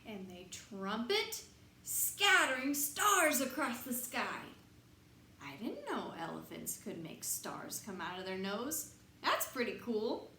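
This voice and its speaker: A woman reading a picture book aloud to young children in an expressive, sing-song way, pausing to put questions to them. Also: a little high. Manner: expressive, dramatic emphasis, sing-song counting and repetition. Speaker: children's storybook reader. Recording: roomy echo, faint background noise.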